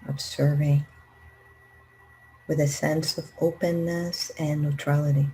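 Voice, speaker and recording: A woman leading a guided meditation in a calm, gentle, unhurried manner with long pauses. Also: low voice, slight background noise, poor audio. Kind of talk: guided meditation, slow spoken instructions.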